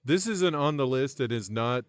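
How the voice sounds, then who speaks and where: Dry-witted comedian on a podcast. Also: male voice, mid-range voice, expressive, normal speed, smooth, no echo. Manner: dry, wry jokes. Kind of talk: casual podcast banter.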